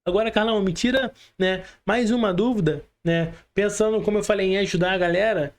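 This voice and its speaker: A man with a Brazilian Portuguese accent and a notably high voice hosts a casual podcast. He talks colloquially, addressing listeners like buddies and peppering his sentences with short tag questions. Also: brisk. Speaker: podcast host.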